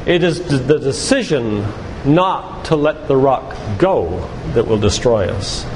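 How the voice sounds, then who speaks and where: An older man giving a sermon-like lecture in a church, speaking in an earnest, deliberate, emphatic way with repetition. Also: mid-range voice, expressive. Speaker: doctor and guest church speaker. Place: church, live talk. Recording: echoey hall with loud background noise.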